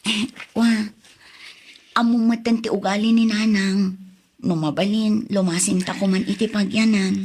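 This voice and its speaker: A woman's voice: medium pitch, steady tone, normal speed, pauses often, a little mellow, close to an everyday voice. A woman playing a meek, put-upon young wife in a radio drama, hesitant and plaintive. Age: young adult.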